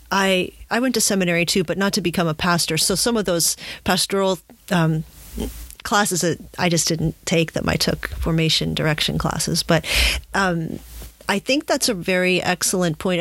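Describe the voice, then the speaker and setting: A woman's voice: lowish voice, normal speed, fairly bright tone, no echo. A woman hosting a reflective conversational interview, searching for her words as she goes. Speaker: podcast host.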